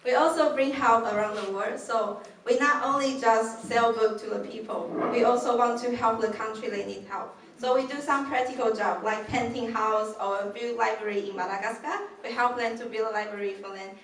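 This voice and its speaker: A woman's voice: fairly high pitch, fairly even intonation, normal speed, few pauses. A woman from Taiwan, a ship crew volunteer, speaking in simple phrasing to a church. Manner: simple phrasing, light laughs between points. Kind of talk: church presentation. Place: church hall. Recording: echoey hall, mic far off, faint noise.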